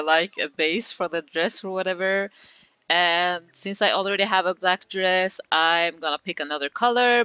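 A woman's voice: on the low side, pushed and bright, clear, resonant tone, no echo, dull audio. A woman with a Swedish accent chatting casually through a game tutorial, giggling often and leaning on fillers. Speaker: gaming tutorial creator.

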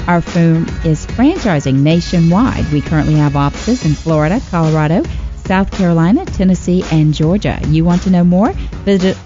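A woman's voice: deep voice, mellow, highs cut off. A middle-aged woman co-hosting a radio show from a studio, presenting in a polished way. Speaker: radio show co-host, restaurant broker. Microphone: studio mic on boom arm.

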